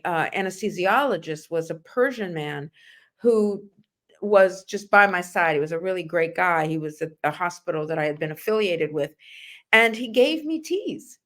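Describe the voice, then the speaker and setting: Emphatic middle-aged woman hosting an interview. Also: mid-range voice. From Russia. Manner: earnest, emphatic, addresses listeners directly. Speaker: host of a nursing and wellness talk show. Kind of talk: conversational interview, personal anecdotes.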